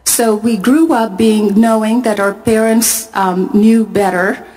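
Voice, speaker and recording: A middle-aged woman from Pakistan, speaking at a conference and telling her own story in a measured, earnest way with deliberate pauses. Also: mid-range voice, steady tone, a little mellow, low-quality recording. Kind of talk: testimony talk to a conference audience. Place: large arena.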